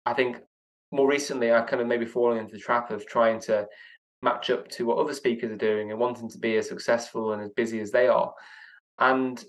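A man's voice: medium pitch, fairly even intonation, fairly quick, low-quality recording. A young man being interviewed as a guest speaker, answering in a reflective, earnest way with some stammering restarts.

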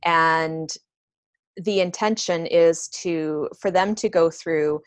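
A woman with a notably low-pitched voice speaks as an interview guest. She explains in an informal, conversational way, working things out aloud, in a very dry recording. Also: slow pace, no room sound. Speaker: business owner being interviewed.

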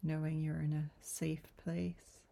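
A woman guiding a yoga nidra relaxation in a soft, breathy, soothing voice, gentle and unhurried with long pauses. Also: low voice, level tone. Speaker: yoga nidra meditation guide. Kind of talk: guided relaxation meditation.

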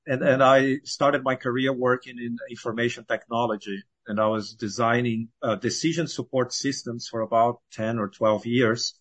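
A man's voice: medium pitch, fairly even intonation, normal speed, hardly any pauses, fairly bright tone, no room sound. A middle-aged man from Brazil answering interview questions in a conversational way, informally thinking aloud as he goes. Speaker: podcast guest, climate scientist and founder.

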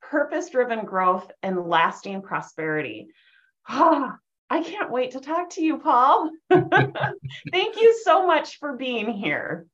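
A woman's voice: sits high, big pitch swings, slow, a bit bright, highs cut off. A woman hosting a podcast, reading a guest introduction and then chatting enthusiastically. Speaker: podcast host. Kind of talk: podcast interview.

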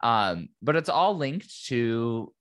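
A man's voice: medium pitch, very animated, takes it slowly, fairly bright tone. A man hosting his own podcast in a chatty, upbeat manner with many ums, his voice a little scratchy after losing it. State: recovering from lost voice, slightly scratchy. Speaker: podcast host. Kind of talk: casual solo podcast talk.

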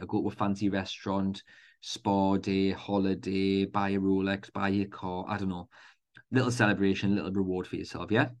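A man's voice: on the low side, a little mellow. A man with a Geordie accent hosting a solo motivational podcast, talking in a chatty, informal way with fillers and restarts. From North East England. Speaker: podcast host.

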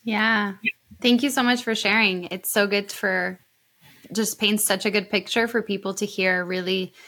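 A woman's voice: mid-range voice, fairly even intonation, measured pace, bright, forceful tone. A woman hosting a casual interview podcast, affirming and encouraging her guests. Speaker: podcast host.

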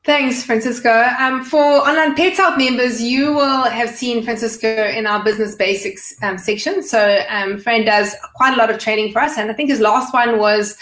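A woman's voice: on the high side, fairly even intonation, moderate pace, few pauses, fairly bright tone. A woman hosting a live online video chat, chatty and full of "um" fillers as she talks. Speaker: podcast host. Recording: roomy live-stream audio.

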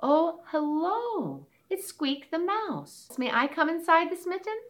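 Squeaky little storybook girl mouse, very high, polite and eager, as a woman puts her on. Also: sing-song, slow, heavily acted. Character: tiny polite female mouse.

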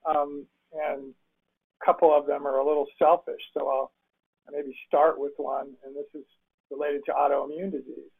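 An older man hosts a relaxed interview, his voice gravelly and a little husky. He rambles, restarting his sentences as he goes. The sound is thin and boxy, like a phone call. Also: fairly high pitch, normal speed. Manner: rambling, many restarts and fillers. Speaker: interview host. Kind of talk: casual interview chat. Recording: phone-like remote call audio.

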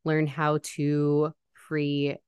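Bubbly low-voiced woman podcast host. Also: monotone, very slow, darkish tone, smooth, dry recording. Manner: bubbly, laughs often, many fillers. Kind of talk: casual podcast interview.

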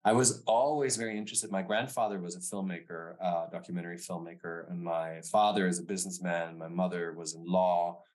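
A man's voice: low-pitched, steady tone, fairly quick, few pauses, a little mellow. A middle-aged man from Germany with a German accent, a podcast guest talking thoughtfully and hedging his words over a remote call. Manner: thoughtful, hedging with "you know" and "sort of". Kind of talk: reflective interview talk.

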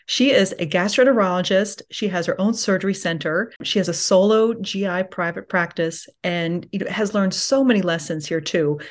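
A woman who is a general surgeon, hosting a podcast interview in an enthusiastic, chatty way. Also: mid-range voice.

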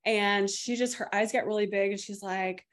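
A woman's voice: medium pitch, fairly even intonation, normal speed. Chatty woman in her fifties. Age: 50s. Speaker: host, yoga and Reiki teacher. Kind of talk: casual podcast chat.

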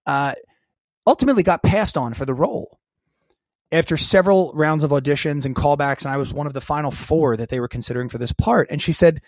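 A man telling a personal story as a podcast guest, lively and acting out the voices of people in it. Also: on the high side, fairly quick, no echo. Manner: animated storyteller who acts out quoted dialogue. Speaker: guest, keynote speaker and coach.

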